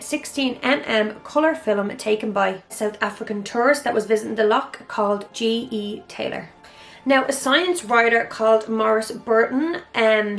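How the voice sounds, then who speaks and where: A woman hosting her own channel, chatting her way through a mystery topic, with a slightly hoarse, raspy voice and an enthusiastic manner. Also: a little high. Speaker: YouTube channel host. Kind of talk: casual monologue on a mystery topic.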